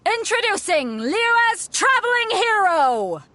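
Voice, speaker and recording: Bold, bright-voiced sea captain. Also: female voice, extremely high pitch, very animated, slow, no echo, exaggerated voice. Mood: showy, rousing. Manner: hearty, showy, blunt. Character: bold, brash woman sea captain hosting a tournament. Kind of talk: video game character acting.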